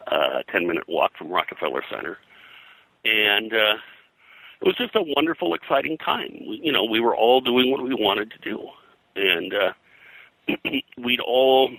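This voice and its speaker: Older guy rambling about old times in an interview, really low voice w a hard sharp edge, lots of you knows, dry close sound. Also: dry recording, rough recording. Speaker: comics veteran being interviewed.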